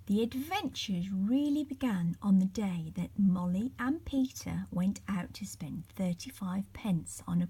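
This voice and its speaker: A woman reading a children's bedtime story aloud in a soft, breathy storytelling voice. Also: medium pitch, no echo. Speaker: story reader.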